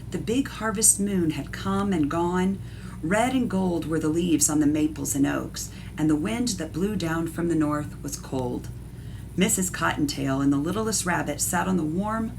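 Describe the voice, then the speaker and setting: A woman's voice: low-pitched, unhurried, creaky, noisy background. A woman art teacher reading a picture book aloud expressively and explaining things to young listeners. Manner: instructive, addresses young listeners directly.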